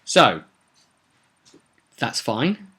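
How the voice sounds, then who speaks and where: A man casually recounting a tabletop roleplay session as game master, in halting, informal storytelling. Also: mid-range voice, very animated, sharp tone, breathy edge. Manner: halting, informal storytelling, voices characters' dialogue in passing.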